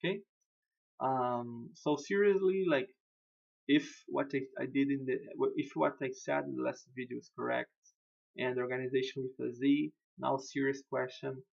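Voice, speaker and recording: A man's voice: medium pitch, fairly even intonation, moderate pace, creaky, highs cut off. A man whose first language is Portuguese, speaking English casually as a web designer while walking a client through their website, repeating words and laughing at himself. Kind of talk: casual screen-recorded walkthrough.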